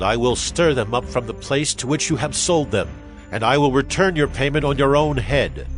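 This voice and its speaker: An actor playing a solemn Hebrew prophet in a dramatized scripture reading, declaiming with grave emphasis. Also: male voice, medium pitch, lightly acted. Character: solemn male Hebrew prophet proclaiming judgment and promise. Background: music.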